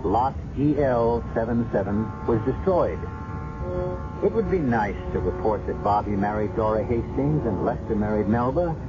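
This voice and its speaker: A man hosting and narrating a 1970s radio drama series, reading scripted lines with deliberate, theatrical phrasing. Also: lowish voice, animated, over music. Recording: muffled, noisy 1970s radio broadcast recording.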